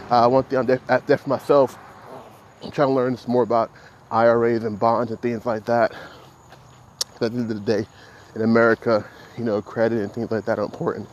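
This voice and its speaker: Halting, flat-toned man in his thirties. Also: medium pitch, a little hiss or hum. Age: 30s. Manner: halting, reflective, many restarts and fillers. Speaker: podcast host. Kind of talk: solo motivational podcast monologue.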